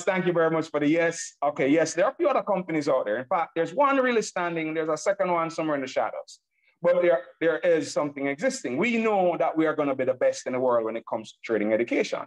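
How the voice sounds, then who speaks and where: A man's voice: a little high, low-quality recording. A man from Nigeria giving an enthusiastic sales presentation on a laptop video call, repeatedly calling out to the audience. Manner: enthusiastic and hype-driven, repeats himself, addresses audience as ladies and gentlemen. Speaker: presenter of an online business webinar.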